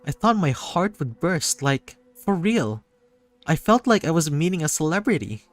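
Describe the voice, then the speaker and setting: A man voicing an excitable, affectionate young female superfan in a visual novel, gushing and emphatic. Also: on the high side, normal speed, pitched above the performer's own voice. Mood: gushing, adoring. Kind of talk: visual novel character acting. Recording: music behind the voice.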